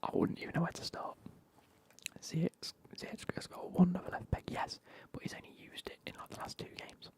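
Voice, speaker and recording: A man's voice: a little fast, a little mellow. A man, whispering as he banters with his live stream chat and swears now and then.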